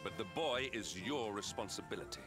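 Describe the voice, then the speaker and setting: A man plays a protective warrior in a video game. His fairly low voice is formal, grave and restrained, stern in a dutiful way. Music is clearly audible behind it. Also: expressive, brisk, natural voice.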